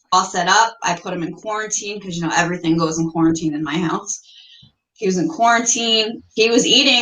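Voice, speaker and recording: A woman's voice: mid-range voice, sharp tone. A middle-aged American woman chatting casually on a livestream, telling stories in a plain-spoken, mildly salty way. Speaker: livestream guest, reptile keeper. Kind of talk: casual chat. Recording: roomy, echoey livestream audio.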